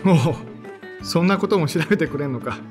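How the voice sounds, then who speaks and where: A tired thirty-year-old man , played by an actor, tells his story in a slightly high voice with sighs and dry self-mockery. Soft music runs under his audio drama narration. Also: lively intonation, fairly quick, lightly acted. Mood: wry. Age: 30s. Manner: sighing, tired, self-deprecating. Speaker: narrator and lead character.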